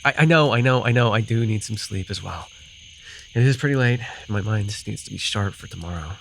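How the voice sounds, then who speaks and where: A man playing a gruff but caring, easily flustered fantasy forest guide in an immersive ASMR roleplay, speaking hesitantly and soothingly. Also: lowish voice, animated, fairly quick, slight background noise. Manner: hesitant, soothing, sighing, teasingly defensive.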